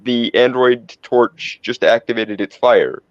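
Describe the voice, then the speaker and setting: A man's voice: mid-range voice, slow pace, no room sound. A man playing a tabletop roleplay game, discussing moves casually with precise, wordy phrasing. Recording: dry, dull lo-fi close audio.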